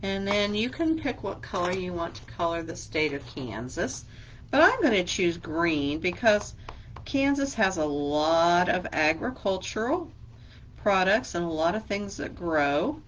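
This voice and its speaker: A woman's voice: fairly low pitch, sing-song, measured pace, slight background noise, rough recording. A woman librarian leading a children's craft lesson, speaking patiently and asking simple questions as a teacher would.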